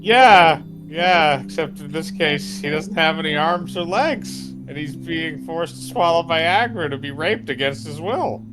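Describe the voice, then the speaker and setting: A woman's voice: sits low, unhurried, hardly any pauses, music in the background. A woman giving casual, joking commentary on a game.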